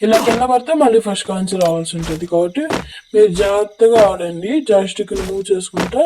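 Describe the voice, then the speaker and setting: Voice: mid-range voice, fast. A gaming creator with a Telugu accent and a voice changer effect, giving instructional, repetitive gameplay tutorial commentary. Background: music.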